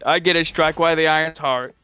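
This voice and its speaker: Bright-voiced man with a sore throat. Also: a little high, fairly even intonation, quick pace, clean tone, dry recording. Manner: teasing, laughing banter. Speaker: co-host friend on a stream. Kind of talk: casual gaming stream chat.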